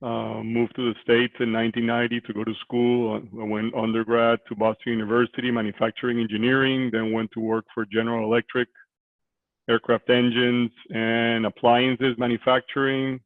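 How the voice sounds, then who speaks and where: A man in his forties from Costa Rica, a real estate investor talking on a video call from a small office, halting with many fillers. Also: medium pitch, level tone, no room sound. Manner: hesitant, many fillers and restarts. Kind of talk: conversational interview. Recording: tinny phone-like line.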